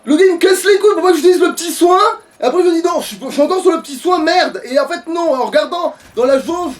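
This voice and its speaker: A man's voice: very high-pitched, fast talker, slight background noise. A man giving casual, sweary, grumbling gaming commentary to a co-player. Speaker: player on a gaming let's play. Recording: distant mic, game music behind. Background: game music.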